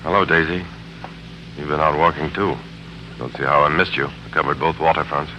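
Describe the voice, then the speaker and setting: An American actor playing a smooth, sardonic, tormented businessman and father in a 1940s radio drama. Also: a bass voice, steady tone, fairly quick, bright, forceful tone, highs cut off, exaggerated voice. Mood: humbled, yearning. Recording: humming, noisy 1940s radio recording.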